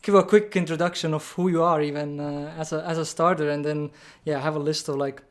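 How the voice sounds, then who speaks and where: A man's voice: a little high, steady tone, a little fast. A man interviewing a guest, asking loose questions full of fillers. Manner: casual, rambling questions with many fillers. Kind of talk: remote interview.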